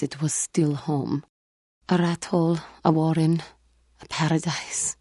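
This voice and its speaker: An actress playing a solemn, proud yet wounded female feline alien warrior monk in a video game, speaking in a formal, measured, guarded and earnest way. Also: sits low, warm tone, husky, dry recording, clean studio sound, full character voice. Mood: bitter, wounded. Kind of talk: video game character dialogue.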